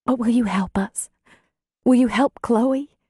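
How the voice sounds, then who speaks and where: Woman acting a high, quavering, distraught country grandmother. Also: warm tone, slightly rough, dry recording, clean studio sound. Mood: tearful, pleading. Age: middle-aged. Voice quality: quavering, wailing voice. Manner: pleading, halting, folksy plain speech. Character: distraught rural grandmother, weary, pleading new mother. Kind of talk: audiobook character dialogue.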